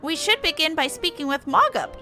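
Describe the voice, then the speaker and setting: A tiny, eager moogle creature with a very high, squeaky voice. The delivery is bright, earnest and exclamatory, like a cute game mascot, with music playing behind. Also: female voice, expressive, a little fast, full character voice. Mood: polite, earnest. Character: small eager excitable moogle apprentice creature.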